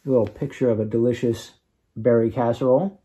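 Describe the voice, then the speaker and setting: A man reading aloud to children as an author, with expressive, dramatic emphasis and casual asides. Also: medium pitch, fast talker, husky, muffled recording. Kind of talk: animated storybook read-aloud with casual asides.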